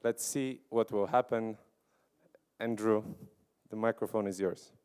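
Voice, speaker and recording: A man hosting a live conference and introducing the keynote and panel, in an unhurried, slightly hesitant way. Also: fairly low pitch, steady tone, darkish tone, clear, resonant tone. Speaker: event host introducing speakers. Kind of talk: event announcement. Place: conference venue, live event.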